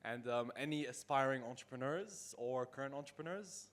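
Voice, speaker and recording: A man's voice: medium pitch, normal speed, a bit bright. A young man moderating a live panel in a casual, informal style. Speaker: content creator, panel moderator.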